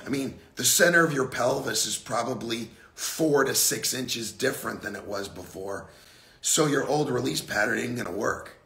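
Raspy middle-aged guy coaching golf on a phone livestream, bit hoarse, echoey room. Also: lowish voice, pushed and bright. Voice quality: gravelly, raspy. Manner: blunt, casual, drawn-out emphasis. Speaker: golf instructor. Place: indoor golf simulator room.

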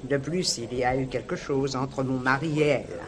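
An actress playing a middle-aged merchant's wife in a French radio crime drama, sharp-tongued, gossipy and disdainful. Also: female voice, very deep voice, moderate pace. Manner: gossipy, disdainful, bossy with staff.

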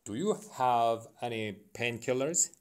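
A man's voice: medium pitch, lively intonation, slight background noise. A man with a Korean accent, a native Korean speaker, carefully reading English phrasebook sentences aloud over a phone call during a practice session. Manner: careful, deliberate read-aloud, slightly halting.

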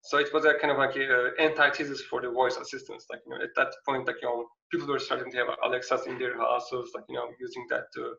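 A man in his thirties with a Turkish accent, giving a casual artist talk over a video call, halting and full of "like, you know", heard through a dull, distant laptop mic in a small room. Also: medium pitch, level tone, gravelly, pushed and bright, echoey room. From Turkey. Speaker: visiting artist lecturer. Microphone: laptop webcam mic on video call.

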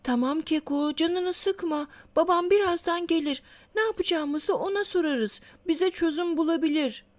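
Caring big sister, high voice. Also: female voice, measured pace, hardly any pauses. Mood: reassuring. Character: caring, helpful older sister, young girl. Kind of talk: children's cartoon dubbing.

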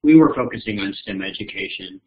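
A man's voice: fairly low pitch, fairly quick. Man telling school stories, muffled. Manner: animated when telling anecdotes, hesitant fillers. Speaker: panel guest, educator. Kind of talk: storytelling panel talk. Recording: dull lo-fi call audio.

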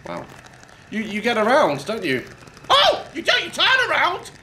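A man's voice: extremely high pitch, wildly swooping pitch, measured pace, slight background noise. A man in his thirties commenting jokily on a horror game, yelping at scares, on a headset mic. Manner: jokey, yelps and shouts at scares. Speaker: gaming youtuber.

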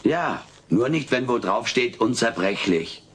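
An actor playing a wisecracking, gullible furry alien in a sitcom audio play, cheeky and insistent. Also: male voice, medium pitch, expressive, measured pace, fairly bright tone, a little hiss or hum. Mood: flippant.

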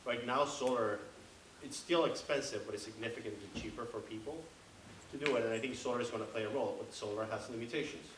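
Fast-talking older man on a panel. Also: medium pitch. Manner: chatty, explains with everyday examples. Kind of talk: answering audience questions. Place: large library room. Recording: echoey room from far off with hiss.

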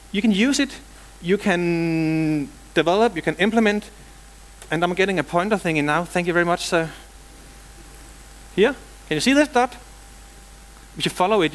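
A man's voice: high voice, expressive, normal speed, long pauses. A middle-aged man from Denmark with a Danish accent, giving a technical conference talk in a large hall with dry jokes and casual asides. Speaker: conference speaker.

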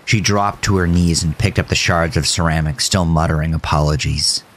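A man narrating a scripted horror story in a measured, solemn way. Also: sits low, fairly even intonation, fairly bright tone, a little hiss or hum.

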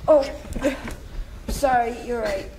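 A man's voice: very high-pitched, slow, recorded from a distance, noisy background. A young actor reading a flustered, stammering freshman boy at a table read.

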